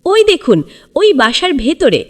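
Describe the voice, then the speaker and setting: An excited little boy detective from a children's cartoon story as a woman narrator plays him. The voice is high and swings widely, with a proud, urgent, exclamatory delivery full of determination. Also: a little slow, heavily acted.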